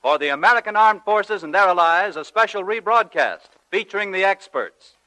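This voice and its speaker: Bright, high 1940s male radio announcer. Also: expressive, moderate pace, rough recording. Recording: 1940s wartime radio broadcast recording.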